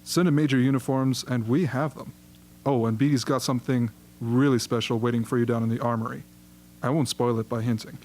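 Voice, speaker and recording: Guy playing a chatty smooth-talking rebel propaganda boss in a radio drama, kinda noisy hum behind him. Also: medium pitch, fairly even intonation, a little fast, a little mellow, natural voice. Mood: eager. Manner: chatty, smoothly persuasive, theatrical. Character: genial, flattering older male rebel propaganda chief. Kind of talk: amateur radio drama acting.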